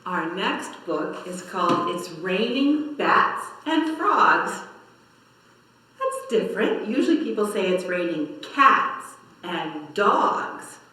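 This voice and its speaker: A woman reading a picture book aloud to young children in a measured, expressive storytelling manner. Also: medium pitch. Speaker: library story time reader. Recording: very echoey room, slightly distant mic.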